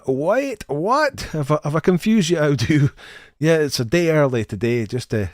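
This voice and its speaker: A man's voice: a little high, a little mellow, dry recording. Friendly guy chatting on a game stream, stumbles on first words, voice sounds dry and close. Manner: chatty, friendly, repeats words when starting sentences. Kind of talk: casual live stream chat, reading chat names.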